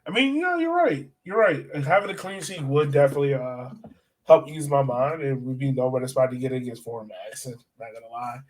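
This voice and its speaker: A man's voice: mid-range voice, fairly quick, low-quality recording. A man co-hosting a sports podcast, chatting casually in informal, slangy language and often turning to his co-host.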